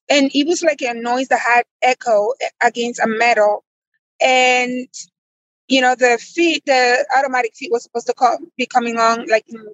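A woman's voice: high voice, a bit bright, no echo, muffled recording. A woman in her thirties from the Republic, answering interview questions conversationally as a farmer guest. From Dominican Republic.

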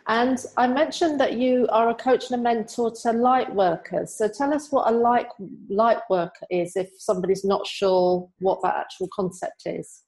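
A woman's voice: fairly high pitch, normal speed, few pauses, darkish tone, rough recording. A woman hosting an interview, moving between a prepared introduction and conversational questions. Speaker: interview host.

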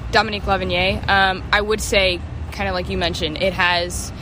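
A young woman from the Chicago area, a journalist guest, speaking in a relaxed way with hesitant starts. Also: mid-range voice, normal speed, noisy background. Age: young adult.

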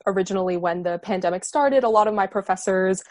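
A woman of about twenty from the Boston area, a student guest on a panel who talks in a direct, quick way. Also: mid-range voice, darkish tone, no echo, clean studio sound. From Boston area, USA. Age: around 20. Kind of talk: discussion on a panel.

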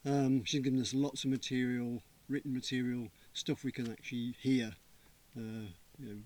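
A man with a mid-range voice talks about his stage work. He explains things in a relaxed, casual way. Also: medium pitch, normal speed. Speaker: actor in a stage play. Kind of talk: casual interview chat.

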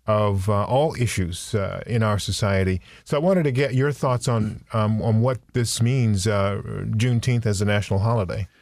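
A man hosting an interview podcast, speaking in a measured, deliberate way. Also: on the low side, fairly even intonation, darkish tone, no room sound, studio quality. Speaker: podcast host.

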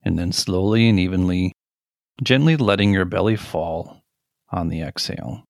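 A middle-aged man leading a guided meditation in a gentle, deliberate manner with long pauses. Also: sits low, gravelly, dry recording, clean studio sound.

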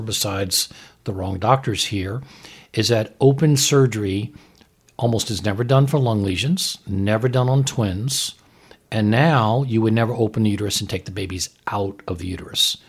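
A man's voice: on the low side, gravelly, husky. A man who is a doctor co-hosting a medical talk, explaining things in a plain-spoken, frank and emphatic way. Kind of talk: explanatory commentary in conversation.

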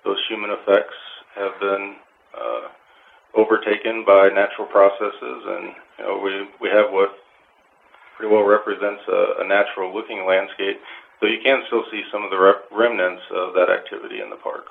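A man answering interview questions as a park official, explaining in a halting, measured way with technical wording. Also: lowish voice. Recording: phone-like line with clear noise.

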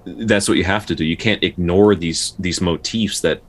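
Male podcast co-host, very low voice, casual but studious folklore explainer with a halting, word-repeating delivery. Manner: halting, with repeated words and stutters. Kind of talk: casual explanatory discussion of folktales.

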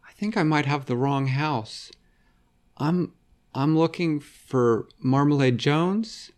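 A man's voice: takes it slowly, a little mellow. A man voicing a worried young girl in a storybook, pleading in a raised voice. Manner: pleading, higher-pitched.

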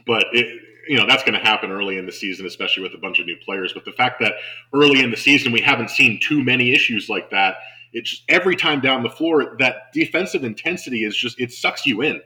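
A man's voice: medium pitch, quick pace, bright, forceful tone, low-quality recording. A man hosting a sports show, chatting casually and enthusiastically as he analyses basketball. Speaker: sports podcast host and basketball broadcaster.

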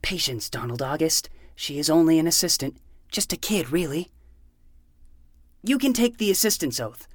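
Melodramatic boy detective, animated delivery. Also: low voice, moderate pace, no room sound, studio quality. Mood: self-important. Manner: melodramatic, self-important noir narration. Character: earnest 12-year-old boy playing hardboiled private detective. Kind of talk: fiction podcast acting.

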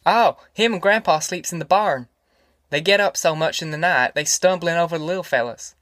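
A man's voice: on the high side, normal speed, fairly bright tone, no echo, studio quality, lightly acted. A man voicing an anxious, tender middle-aged farm mother in an audiobook, with a rural Southern American accent and a hesitant, earnest way of talking. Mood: confiding. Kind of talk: audiobook character acting.

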